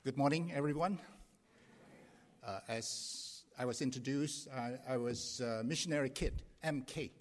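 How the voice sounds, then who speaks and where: A middle-aged man from Indonesia preaching to a congregation as a guest pastor, in a relaxed way with many pauses and fillers. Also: mid-range voice, long reverb tail. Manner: informal, halting with many fillers. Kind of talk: sermon. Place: church sanctuary.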